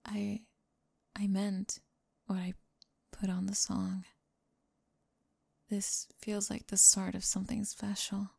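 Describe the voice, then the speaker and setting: Woman acting as sweetly smitten young female pop star, slow pace, slightly creaky, breathy, hesitant, ASMR romance roleplay. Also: mid-range voice, monotone, heavily acted. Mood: tender, intimate. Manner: soft-spoken, breathy, giggly and hesitant.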